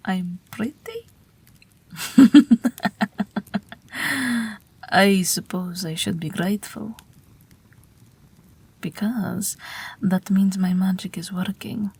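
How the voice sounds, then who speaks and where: Casting: woman as weary, wry, tender witch aviator, heavily whispered, unhurried delivery for intimate audio roleplay. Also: mid-range voice. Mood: amused, wistful. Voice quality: breathy, whispery. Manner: gentle, dryly wry, unhurried. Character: ageless Russian night witch pilot, wry, tender, weary.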